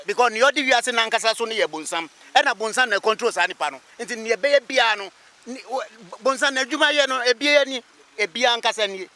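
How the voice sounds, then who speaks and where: A man's voice: very high voice, fast, pushed and bright. A man with a Ghanaian accent answering a street interview emphatically, repeating his phrases. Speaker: interviewed local motorist or resident. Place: outdoors by a busy road.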